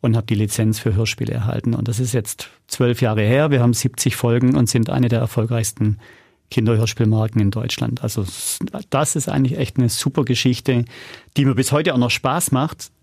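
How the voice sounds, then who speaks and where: A middle-aged man speaks as an interview guest in a gravelly, creaky voice. He tells things in a colloquial, anecdotal way and tags his statements with short questions. The recording is dry, with no room sound. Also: medium pitch, fairly even intonation, brisk, a little mellow, no room sound. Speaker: interview guest, audio drama producer. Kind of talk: casual interview conversation, anecdotal.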